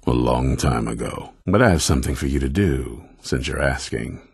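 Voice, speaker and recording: A stern male leader of vampire hunters in a fantasy video game. His voice is extremely low and thick with gravel, recorded close and dry. He speaks bluntly and with command, suspicious and grudging toward those around him. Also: expressive, moderate pace, a little mellow, dry recording, exaggerated voice. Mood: stern, curt. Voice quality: very deep, gravelly, raspy. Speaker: leader of a vampire-hunting order.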